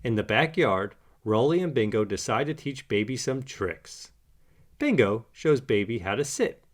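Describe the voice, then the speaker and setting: A man reads a children's story aloud. His medium-pitched voice swings widely and expressively, in the manner of animated picture-book narration. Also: a little slow.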